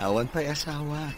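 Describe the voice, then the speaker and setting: A man playing a friendly, easygoing bachelor in an audio drama, with a casual, teasing manner. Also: a little high. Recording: noticeable background noise.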